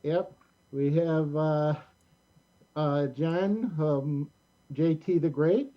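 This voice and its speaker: Halting show host on dull call audio. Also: mid-range voice. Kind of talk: casual show welcome, introducing guests. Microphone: video call.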